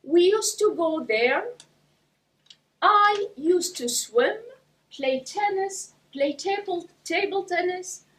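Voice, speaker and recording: Woman from iraq giving a club speech, slow and deliberate, mic kinda far off. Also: very high-pitched, lively intonation, fairly bright tone. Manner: slow and deliberate with pauses between phrases. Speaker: club speaker. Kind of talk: prepared speech to an audience. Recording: voice from a distance.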